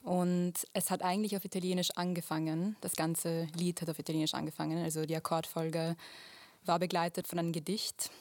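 A young woman from Vienna speaks in a radio studio. She answers thoughtfully, pausing and rephrasing as she searches for words. Her voice sits low and stays fairly level, close and dry. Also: little pitch movement, a little mellow, dry recording. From Vienna, Austria. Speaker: musician guest.

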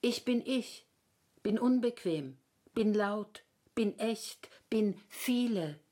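A woman declaiming poetry from a stage in a defiant, forceful way. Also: mid-range voice, expressive, slow. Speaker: stage performer.

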